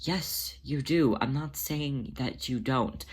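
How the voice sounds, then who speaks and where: Motherly female cat medic for story podcast, voiced by the narrator; reassuring, patient, mid-pitched. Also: slow pace, slightly rough. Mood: calm, earnest. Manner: soothing, patient, explaining. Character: Jelly Lorum, gentle reassuring motherly female cat healer.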